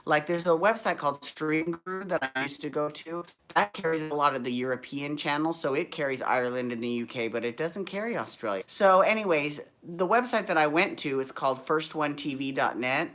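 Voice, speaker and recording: A man's voice: a little high, a little fast, hardly any pauses, fairly bright tone. Tired-voiced sassy man chatting. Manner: sassy, rambling, full of asides. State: tired, deepened voice. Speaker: show host. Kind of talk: casual chatty recap vlog. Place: hotel room.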